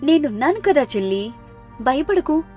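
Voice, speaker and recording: A woman acts out an unafraid elder sister in a moral tale. She speaks high, with soothing confidence and firm care, over music. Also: big pitch swings, normal speed, darkish tone. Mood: reassuring. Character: reassuring thirty-year-old elder sister, caring, firm, unafraid. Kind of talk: moral story character dialogue.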